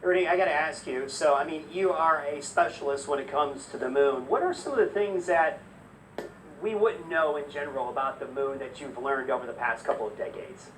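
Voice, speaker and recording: A man's voice: a little high, brisk, a bit bright. A man conducting a conversational broadcast interview as a news presenter. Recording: distant mic with faint noise.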